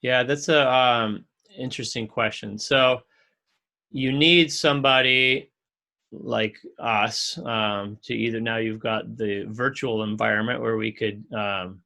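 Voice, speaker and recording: A man's voice: medium pitch, fairly even intonation, slow, creaky, bright, forceful tone. A man working as a home contractor, answering interview questions in an earnest, enthusiastic storytelling way.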